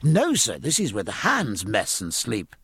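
A man's voice: lowish voice, huge pitch swings, a little slow, creaky, fairly bright tone, slightly rough, no room sound, studio quality, full character voice. A man voicing an earnest, eager young midshipman in an audiobook, polite and keen to explain nautical matters. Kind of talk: audiobook character acting.

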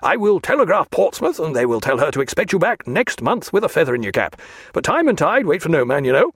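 A man's voice: fairly high pitch, very animated, fast talker, slightly rough, no echo, clean studio sound, pitched above the performer's own voice, heavily acted. A man playing a blustering old admiral. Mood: bluff hearty. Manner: loud blustering, old-fashioned naval talk. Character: bluff hearty old male admiral.

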